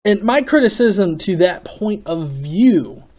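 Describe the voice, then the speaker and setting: Guy with a kinda high voice doing an unscripted opinion video, trips over and repeats words, swears casually. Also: animated, darkish tone. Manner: halting, stammering, repeating words; casual, profanity-laden. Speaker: video host. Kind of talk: off-the-cuff opinion commentary.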